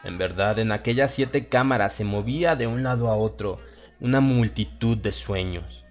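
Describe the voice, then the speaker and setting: A man with a Latin American Spanish accent narrating a horror story in a solemn, theatrical manner with dramatic pauses. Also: fairly low pitch. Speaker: audiobook narrator. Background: music and sound effects.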